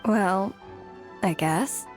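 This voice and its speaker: A woman's voice: mid-range voice, drawn-out words, darkish tone, faint music behind. A woman voicing a weary, self-doubting young working woman in an anime game, hesitant and earnest. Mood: rueful.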